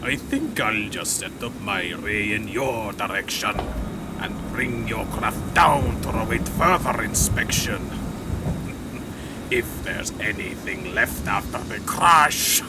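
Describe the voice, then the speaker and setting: A man performs a scheming master villain in an audio drama. He gloats with slow menace, his voice on the low side, savoring each threat. Loud noise of effects runs heavily behind him. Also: expressive. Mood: gloating, sinister. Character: gloating, scheming male villain spy, gleefully sinister.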